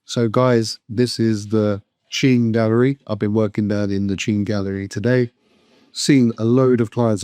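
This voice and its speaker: A man's voice: lowish voice, mellow, no echo, studio quality. A man casually vlogging from an eyewear shop, chatting about a client and stumbling over product names. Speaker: optician vlogger.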